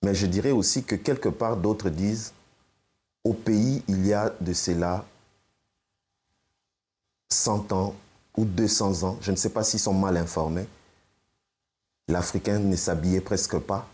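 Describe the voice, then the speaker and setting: A man interviewing a guest, asking measured questions while searching for his words. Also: sits low, fairly even intonation, a little mellow, breathy edge.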